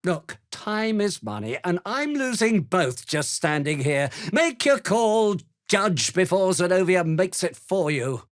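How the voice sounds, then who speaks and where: A high, very animated British merchant for a video game, pompous and self-serving. He is haughty and easily affronted, with a nervous falter under pressure, in a dry close recording. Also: takes it slowly, few pauses, no room sound, exaggerated voice. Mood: irritated, impatient. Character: pompous, jittery, self-serving male merchant and house owner. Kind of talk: video game dramatic acting.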